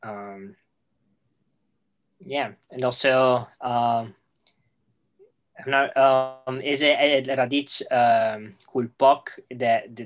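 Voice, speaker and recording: A young man with an Italian accent speaks very slowly in a relaxed video call chat. He pauses often and searches for words as a guest explaining recipes. He is heard through a webcam from a room at home. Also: medium pitch. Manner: hesitant, many fillers and restarts. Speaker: guest cook.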